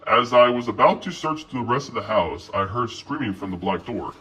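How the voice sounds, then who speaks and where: A man telling a scripted horror story in an expressive, first-person narration. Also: medium pitch, moderate pace. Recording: voice distant from mic.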